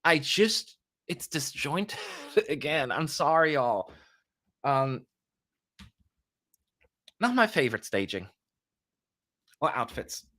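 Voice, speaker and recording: A young man talks through a live stream in a loose, joking way, tossing off quick asides to his viewers. His voice is bright and his pitch swings widely as he chats. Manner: chatty, animated, quick asides and playful jokes. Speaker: livestream host. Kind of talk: casual live commentary.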